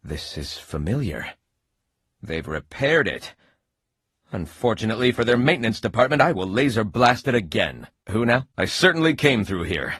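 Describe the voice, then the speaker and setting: A husky earnest superhero for a video game, pompous and stilted, pitch swinging all over. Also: male voice, very animated, moderate pace, a bit bright, dry recording, studio quality, full character voice. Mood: puzzled. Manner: pompous, stilted, solemnly dramatic heroic delivery. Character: earnest, eccentric male laser-eyed superhero, formal and oddly literal. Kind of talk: video game character voice acting.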